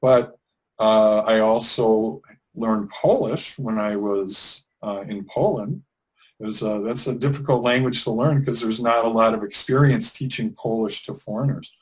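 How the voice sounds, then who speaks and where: A man's voice: fairly low pitch, measured pace, poor audio. A middle-aged man, talking in an interview in an easygoing, rambling way, often restarting his sentences. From Wisconsin, USA. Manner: relaxed, rambling, with frequent restarts and fillers. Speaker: interview guest, English teacher trainer. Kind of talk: conversational interview.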